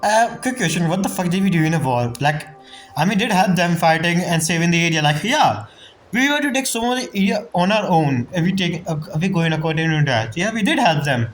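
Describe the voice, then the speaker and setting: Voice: mid-range voice, animated, a little fast, faint music behind. Joking rambling game streamer. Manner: joking, rambling, laughs often. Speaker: gamer streamer. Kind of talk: casual game commentary.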